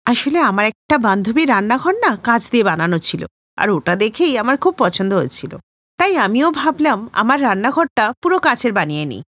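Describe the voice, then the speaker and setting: A woman's voice: fairly high pitch, big pitch swings, brisk, fairly bright tone, no room sound, voice pushed down. A woman voicing a rich, chatty, self-assured lady in a cartoon story. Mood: pleased. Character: rich, chatty sister-in-law showing off her home in cartoon.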